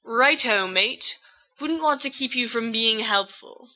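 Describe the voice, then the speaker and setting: A jovial teenage schoolboy, played by a woman, sure of himself and ready for a challenge. The voice is very bright and forward, fairly high, and takes its time. It suits an audio storybook. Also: slow, heavily acted, low-quality recording. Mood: confident, jovial. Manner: hearty, posh schoolboy slang. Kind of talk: amateur audio fan fiction reading.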